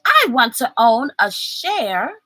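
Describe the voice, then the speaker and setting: A woman voicing an eager, curious young boy in a children's storybook, with wide-eyed, drawn-out delivery. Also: a little high, sing-song, pushed and bright, no echo, full character voice. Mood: excited.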